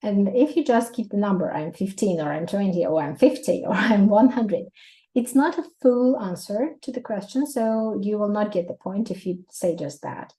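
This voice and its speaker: A woman in her thirties with a Russian accent, teaching an English exam prep lesson in a lively way, laughing often and giving many examples. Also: mid-range voice, normal speed, low-quality recording. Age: 30s.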